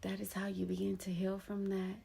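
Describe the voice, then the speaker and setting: A woman in her thirties with a husky voice, giving earnest, encouraging advice from her own experience in a casual personal talk. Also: mid-range voice, monotone, fairly quick, warm tone. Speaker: vlogger sharing her story.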